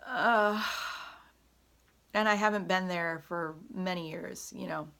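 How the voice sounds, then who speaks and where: A woman chatting in an unscripted home vlog, often pausing with fillers and correcting herself, her voice hoarse at times. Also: fairly low pitch, fairly bright tone. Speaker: vlogger. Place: home room. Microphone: camera on a tripod.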